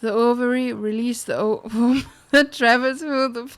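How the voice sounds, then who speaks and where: Slow-paced, higher-voiced woman streamer reading aloud. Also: fairly even intonation. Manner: giggly, breaking into laughter while reading. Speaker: live streamer playing a video game. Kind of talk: reading game text aloud on a livestream.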